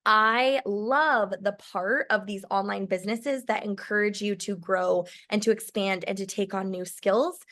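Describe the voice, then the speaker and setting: A woman giving an upbeat, polished sales pitch for a new company. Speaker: company presenter. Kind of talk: promotional live presentation.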